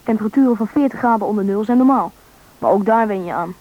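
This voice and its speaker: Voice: medium pitch, quick pace, lightly acted. A performer playing a young survivor who narrates a rescue in a radio play, matter-of-fact. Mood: relieved, reflective. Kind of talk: scripted first-person drama narration. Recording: thin, phone-like band-limited recording.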